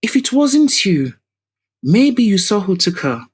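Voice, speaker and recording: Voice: mid-range voice, very animated, takes it slowly, breathy edge, voice pushed up, full character voice. Audio drama lead: determined young woman, voiced by a female narrator, formal, pointed, insistent. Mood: searching. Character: determined, probing young woman confronting a suspect.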